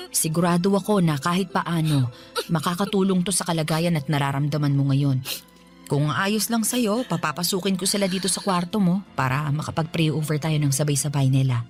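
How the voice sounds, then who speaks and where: An actress playing a gentle, concerned middle-aged aunt who goes to church, in a radio drama. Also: female voice, sits low, natural voice.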